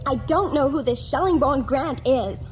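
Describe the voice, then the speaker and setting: A woman's voice: sits high, normal speed, music in the background, close to an everyday voice. An actress playing a feisty, exasperated young woman in a radio drama, indignant and plain-spoken. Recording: faintly noisy 1980s radio drama.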